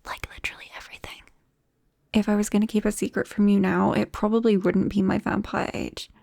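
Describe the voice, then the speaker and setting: British girl vampire woman acting it for asmr, shy n hesitant, soft mellow voice bit creaky, whispery, super close mic. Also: mid-range voice, level tone, fairly quick, no room sound, close to an everyday voice. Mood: playful, confiding. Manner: soft-spoken, hesitant, often dropping into whispers. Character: shy, embarrassed young female vampire confiding in best friend. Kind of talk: ASMR roleplay, intimate and conversational. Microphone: close microphone.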